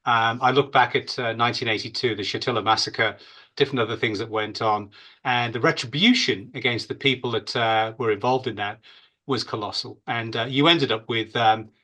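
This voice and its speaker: A man's voice: medium pitch, steady tone, a little fast, bright, forceful tone. A man giving off-the-cuff expert security analysis in an interview, conversational and emphatic, leaning on stock phrases.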